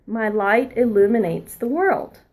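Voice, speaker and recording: A woman past her twenties giving a spiritual oracle card reading, earnest and encouraging, sometimes dropping into a soft whisper. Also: a little high, lively intonation, measured pace. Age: adult past her twenties.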